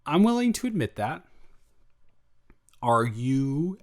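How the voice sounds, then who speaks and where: Man playing a slow, bashful young male roommate. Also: medium pitch, big pitch swings, darkish tone. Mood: nervous, earnest. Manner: hesitant, rambling, halting delivery. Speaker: audio roleplay performer. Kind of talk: improvised romantic roleplay, intimate casual chat.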